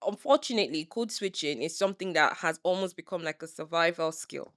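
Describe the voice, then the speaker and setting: A middle-aged woman with a Nigerian accent, co-hosting a casual podcast chat, animated and full of "you know"s. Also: lowish voice, a little fast, no echo, studio quality. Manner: animated, restarts phrases, frequent "you know"; public speaker. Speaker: podcast co-host.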